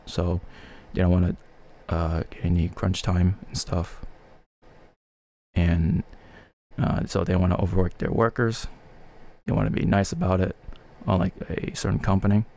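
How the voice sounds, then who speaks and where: Guy w/ deep gravelly voice, rambly halting gaming podcast chat. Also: little pitch movement, warm tone. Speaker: video game podcast co-host. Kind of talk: casual chat, gaming opinions.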